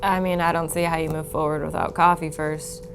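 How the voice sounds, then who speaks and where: A woman's voice: low-pitched, little pitch movement, fairly quick. A woman playing a pilot in a casual tabletop roleplaying game. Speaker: tabletop player as pilot character. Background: clear background music.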